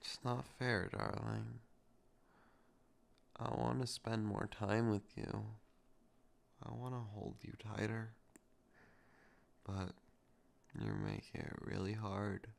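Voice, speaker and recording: A man performing a romantic ASMR roleplay to the listener, soft, intimate and teasing with a possessive edge. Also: expressive, slow pace, pauses often. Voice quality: low gravelly voice with heavy vocal fry.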